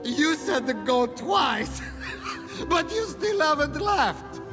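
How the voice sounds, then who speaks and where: A man's voice: extremely high pitch, very animated, slow, heavily acted. A man voicing a spaced-out, giggly temple priest who rambles dreamily, in a comic video game cutscene. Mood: amused, excited. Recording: long reverb, music behind.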